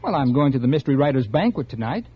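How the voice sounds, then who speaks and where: An actor playing a smug, scheming mystery writer, with an American accent and polished, theatrical 1950s radio delivery. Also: male voice, medium pitch, sing-song, very fast, slightly rough. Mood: brisk, dismissive. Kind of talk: 1950s radio acting, first-person narration. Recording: dull old radio recording.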